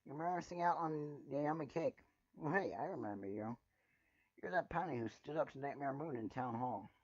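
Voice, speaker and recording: A man voicing an eager, friendly young male dragon with an excitable, chummy manner in a storybook reading. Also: mid-range voice, moderate pace, voice pushed up, close to an everyday voice. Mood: excited, friendly. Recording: muffled, roomy home recording.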